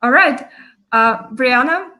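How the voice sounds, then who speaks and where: Bright high-voiced woman hosting. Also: lively intonation, slow pace. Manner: welcoming, with frequent fillers. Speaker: host. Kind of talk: live online chat introduction.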